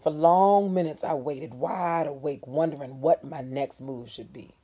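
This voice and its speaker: A woman narrates a novel in the first person with dramatic expression. Her voice sits low, with a mellow, husky grain, and she can drop into hushed, breathy tones. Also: a little slow. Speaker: storyteller reading a novel aloud.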